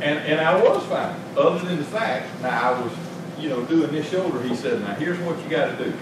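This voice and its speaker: Middle-aged man, pastor, a bit high-voiced, conversational preaching style, heard from a distance in a reverberant sanctuary. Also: lively intonation, brisk. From Georgia, US. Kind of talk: sermon. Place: small church sanctuary, indoors. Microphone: stand mic at pulpit, filmed by far camera. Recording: very echoey church room, distant mic, noisy.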